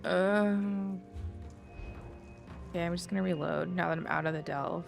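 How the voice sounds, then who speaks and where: A woman's voice: lowish voice, steady tone, a little slow, creaky, faint music behind. A woman chats casually while live streaming a game, thinking aloud in a rambling, self-deprecating way.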